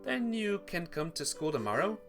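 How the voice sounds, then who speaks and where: A man's voice: high voice, big pitch swings, normal speed, music in the background, voice pushed up. A man voicing an earnest, anxious schoolboy protagonist from a visual novel, questioning and hesitant. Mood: concerned. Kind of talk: visual novel character reading.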